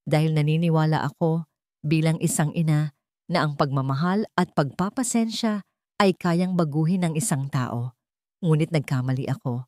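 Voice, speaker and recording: A woman voicing a calm, resolute older mother who speaks steadily and firmly, in a dramatized storybook reading. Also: sits low, dry recording, studio quality, natural voice. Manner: steady, firm and measured.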